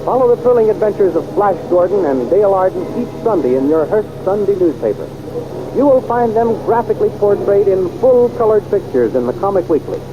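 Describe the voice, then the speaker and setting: Guy doing old-timey radio serial narration, high voice, dramatic, muffled old recording. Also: big pitch swings, background noise. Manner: dramatic, brisk announcing. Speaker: radio announcer.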